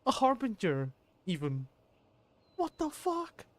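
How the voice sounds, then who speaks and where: A woman's voice: on the high side, takes it slowly. A woman streamer joking and reacting casually to a game story. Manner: joking, excitable.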